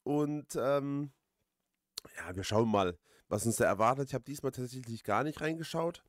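A man giving casual, halting gameplay commentary as a let's player. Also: medium pitch, expressive, dry recording, clean studio sound. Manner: hesitant, halting, frequent drawn-out pauses.

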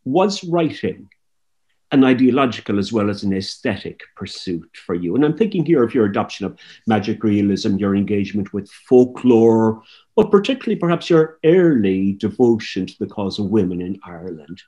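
A man in his sixties with a low, mellow voice asks long, winding questions. His pitch moves a lot as he builds each thought. He is on a webcam call from a room at home. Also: expressive, normal speed. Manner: long, searching questions, repeats words. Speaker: interviewer. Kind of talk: literary interview questions. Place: home room with pictures on the wall, indoors. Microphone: laptop webcam on a video call.

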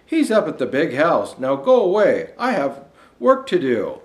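A grumpy stable elf put on by an older man brushes people off brusquely in storybook style. The pitch is fairly high for a man and swings about. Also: wildly swooping pitch, unhurried, reverberant. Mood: irritated.

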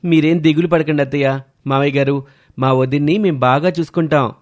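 A man's voice: a little high, steady tone, a little fast, close to an everyday voice. A man voicing a playful young brother-in-law in a cartoon family drama, with an emphatic, teasing delivery. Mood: reassuring.

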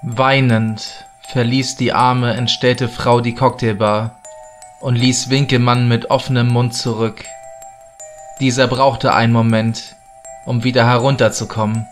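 A man's voice: mid-range voice, little pitch movement, a little slow. A man narrating a scripted horror story as storyteller. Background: background music.

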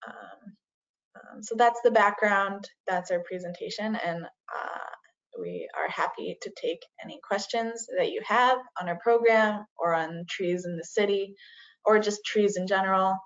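A woman's voice: mid-range voice, steady tone, unhurried. A woman giving an online presentation, starting many phrases with "uh" and "um". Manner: frequent "uh" and "um" fillers. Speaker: community forestry presenter. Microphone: video call. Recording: dull lo-fi video call audio.

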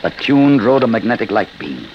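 An actor playing a weary, obsessed older inventor with intense, dramatic delivery in a 1950s radio drama. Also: male voice, fairly low pitch, sing-song, a little fast, dull audio. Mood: intent, weary. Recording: very noisy 1950 radio broadcast.

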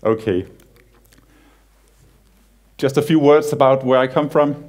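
Danish man, 30s, conference speaker on stage in a cinema hall, easygoing and joking, stumbles a bit. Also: medium pitch. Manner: relaxed, self-deprecating humor with frequent halting restarts. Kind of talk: conference talk, casual and joking.

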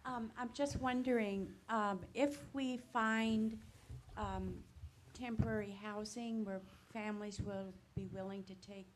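A woman asking questions at a congregation meeting, hesitant with many pauses and fillers. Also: mid-range voice, fairly even intonation, a little hiss or hum.

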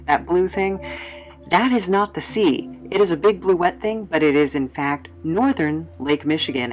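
Low-pitched woman, wry travel narrator. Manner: playful, wry, rambling asides. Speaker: vlog host and narrator. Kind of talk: casual, chatty travelogue voice-over. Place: studio voice-over.

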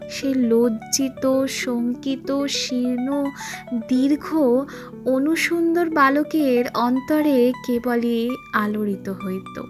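Female audiobook narrator for dramatic literary fiction: high voice, unhurried pace, expressive phrasing. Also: music in the background. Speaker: storyteller.

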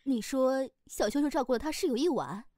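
A high, swooping female voice from an actress plays a bossy mother in an audio drama. She is jealous and indignant, exclaiming and demanding answers. The sound is close and dry. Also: expressive, dark tone, dry recording. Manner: exclamatory, rapid-fire questioning. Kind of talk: Chinese audio drama acting.

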